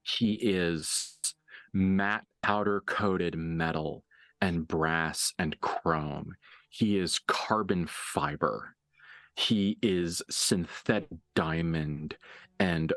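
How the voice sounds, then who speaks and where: A man narrating a tabletop horror game as game master, dramatically and deliberately, with pauses for emphasis. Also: low-pitched, a bit bright, dry recording.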